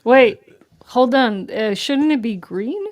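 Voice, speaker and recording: A woman co-hosting a casual podcast, inquisitive and quick to interject. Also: fairly high pitch, darkish tone, highs cut off.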